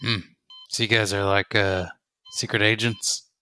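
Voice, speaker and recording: A man playing a shaken, wary army soldier in a tabletop roleplay, talking in halting, slangy bursts. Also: lowish voice, flat delivery, bright, forceful tone, clear, resonant tone, rough recording. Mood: wary, uneasy. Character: shaken male army soldier, sole survivor, wary and informal.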